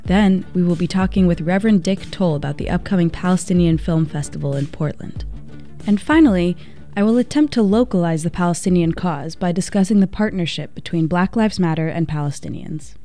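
A woman hosting a community radio show, reading a measured introduction and asking questions. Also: on the low side, dark tone, soft background music. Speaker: radio host.

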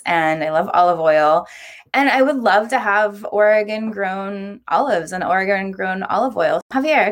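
A woman speaking as the host of a community radio show, introducing segments and interviewing guests. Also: on the low side.

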